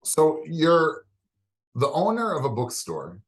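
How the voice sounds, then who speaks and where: Man asking halting interview questions. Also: fairly high pitch, wildly swooping pitch, low-quality recording. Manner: halting, searching for words. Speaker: interview host.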